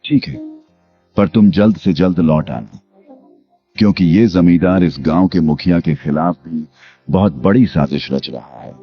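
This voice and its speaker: Cartoon story ghost, male actor: murdered man's restless spirit, low and solemn, music underneath. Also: measured pace. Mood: solemn, urging. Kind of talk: animated Hindi moral story acting. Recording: dull lo-fi audio with music behind.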